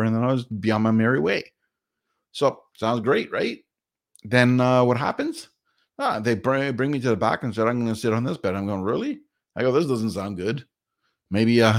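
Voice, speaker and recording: A middle-aged man from Canada recounts his week in a casual vlog, his voice on the lower side. He rambles and circles back, leaning on fillers and restarts as he tells the story. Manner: rambling, chatty, many fillers. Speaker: vlogger.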